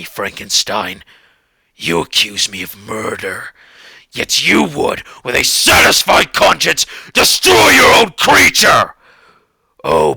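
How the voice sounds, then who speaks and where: A man voicing an anguished, bitter monster in a theatrical literary monologue, with a gravelly rasp and slow, archaic, eloquent delivery. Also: mid-range voice, pushed and bright, no echo, full character voice. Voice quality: gravelly, rasping. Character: anguished male monster pleading with his creator, bitter and eloquent.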